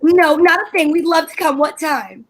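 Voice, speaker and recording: A woman's voice: very high-pitched, moderate pace. Woman playing a tart scheming housewife. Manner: tart, sarcastic, flustered. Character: scheming indignant housewife Liz. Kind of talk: amateur old-time radio acting.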